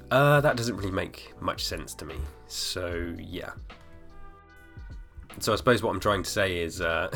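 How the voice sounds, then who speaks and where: A man in his thirties hosting a podcast alone, slightly hoarse, rambling with fillers and restarts. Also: sits low, bright, forceful tone. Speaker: podcast host. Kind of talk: casual solo podcast talk.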